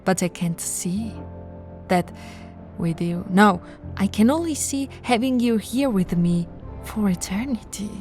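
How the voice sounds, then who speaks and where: A woman voicing a possessive, seductive vampire royal in an ASMR roleplay, teasing and often slipping into breathy whispers. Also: medium pitch, lively intonation, soft background music, close to an everyday voice. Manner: slow, teasing, breathy whispering with soft laughs.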